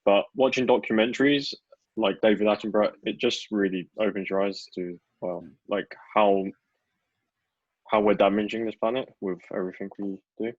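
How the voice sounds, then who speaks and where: A man's voice: lowish voice, fairly even intonation, moderate pace, dry recording. A young man speaking casually on an online panel. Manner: casual, uses fillers. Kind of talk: casual talk. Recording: dull lo-fi audio.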